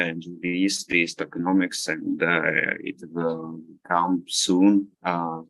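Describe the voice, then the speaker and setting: Man, very deep voice, slow hesitant speech with many fillers, dry close sound. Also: a bit bright, no room sound, rough recording. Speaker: team member answering questions. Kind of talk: casual online community call.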